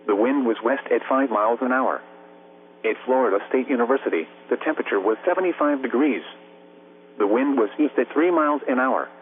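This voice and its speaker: Male text-to-speech announcer, narrowband radio sound, faint hum and static, formal measured weather bulletin delivery. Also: mid-range voice. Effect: synthetic computer voice. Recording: noisy narrowband weather radio broadcast, humming.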